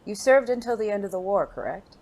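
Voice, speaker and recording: A performer playing a gentle, refined gentleman companion in an audio drama, speaking formally, earnestly and in a measured way. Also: mid-range voice, expressive. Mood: concerned, probing. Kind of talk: intimate audio drama dialogue. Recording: faint noisy background ambience.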